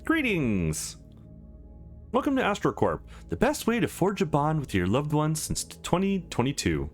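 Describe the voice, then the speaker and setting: Man playing a courteous, animated female receptionist. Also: on the high side, unhurried, voice pushed up. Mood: cheerful, courteous. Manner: polished, formal sales patter. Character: courteous, upbeat female company receptionist in space. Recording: music behind the voice.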